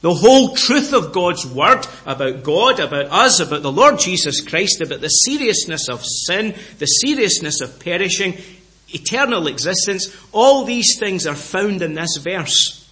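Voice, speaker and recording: A middle-aged Scottish man preaching with earnest conviction. His voice sits a little high and sounds bright and forceful. He often repeats a phrase and slows right down to press a point home. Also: big pitch swings. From Scotland. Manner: earnest, repeats phrases, stresses words slowly. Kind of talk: sermon.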